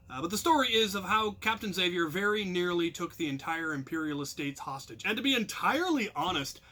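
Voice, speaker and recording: Storyteller, male, American. Slightly high, crisp-edged bright voice; informal anecdotes delivered with animation and self-mockery. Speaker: storyteller and channel host.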